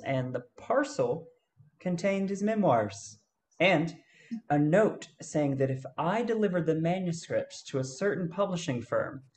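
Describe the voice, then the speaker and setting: A man acts out an easygoing fortune hunter in a radio play. His voice sits a little high for a man, and he spins his tale very slowly, with halting pauses. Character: easygoing male gold-hunting adventurer telling a tale.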